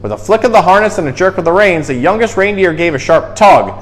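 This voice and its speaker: A man reading a children's storybook aloud in an expressive way, with dramatic emphasis. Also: sits high, fast. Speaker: storyteller and zookeeper host.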